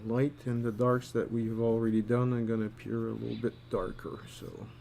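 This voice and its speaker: A man thinking aloud casually as he gives an art demonstration, murmuring to himself in an unhurried way as he works. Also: medium pitch, flat delivery, gravelly, mellow, a little hiss or hum. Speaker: storyboard artist giving a drawing tutorial.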